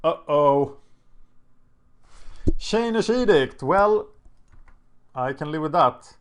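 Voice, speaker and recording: A man's voice: a little high, expressive, slow pace, slight background noise. A man with a Swedish accent, a native Swedish speaker, thinking aloud and chatting as he commentates his own card game.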